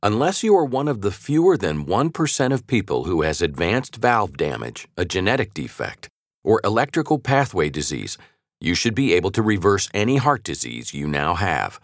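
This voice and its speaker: Low-pitched male audiobook narrator, lively intonation. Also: moderate pace, dry recording, studio quality. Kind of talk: scripted nonfiction audiobook narration. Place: dry recording studio.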